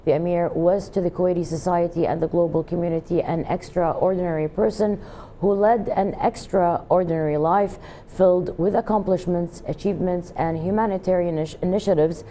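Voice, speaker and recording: Low, mellow woman newsreader over rumbling noise. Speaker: news presenter. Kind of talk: scripted news reading.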